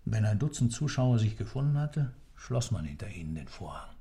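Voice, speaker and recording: An intense accused storyteller in a radio play. His lowish voice is emphatic and insistent, shaping literary prose theatrically and at times sinking toward a whisper. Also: big pitch swings, a little fast, dark tone. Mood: vivid narration.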